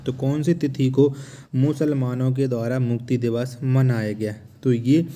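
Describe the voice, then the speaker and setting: Mellow-voiced male exam tutor. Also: medium pitch, flat delivery, measured pace, a little hiss or hum. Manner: instructional, repeats questions and answers for emphasis. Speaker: exam-prep teacher. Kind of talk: quiz lesson, reading questions and giving answers.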